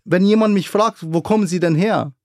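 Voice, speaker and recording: Gravelly-voiced man telling his story. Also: high voice, brisk, no room sound, studio quality. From Iraq. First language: Arabic. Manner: earnest, animated, frequent fillers. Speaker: guest. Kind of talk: conversational interview.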